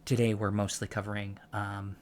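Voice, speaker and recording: A soft-spoken man in an ASMR roleplay as a professor, explaining things haltingly with pedantic asides. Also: on the low side, completely flat delivery, slight background noise. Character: patient alien professor lecturing on humans, fascinated by them. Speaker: ASMR roleplay performer playing an alien college lecturer.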